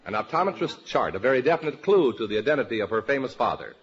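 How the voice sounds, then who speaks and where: An American man hosting a panel quiz show, presenting in a deliberate announcer style. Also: medium pitch, animated. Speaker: game show host. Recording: dull, lo-fi old radio recording.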